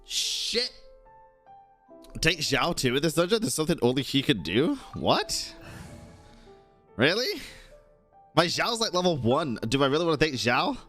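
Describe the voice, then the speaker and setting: A man's voice: fairly high pitch, big pitch swings, unhurried, long pauses, a bit bright. A man reacting casually as a live game streamer, informal and slangy, with some swearing. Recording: game music behind the voice.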